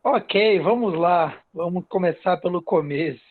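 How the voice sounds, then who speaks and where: A man with a Brazilian accent from the São Paulo coast tells his own story casually as a podcast guest, haltingly, repeating phrases and tagging them with "né". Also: high-pitched, no echo. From Cubatão, São Paulo state, Brazil. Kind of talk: casual personal storytelling in an interview. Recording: narrow phone-like online call sound.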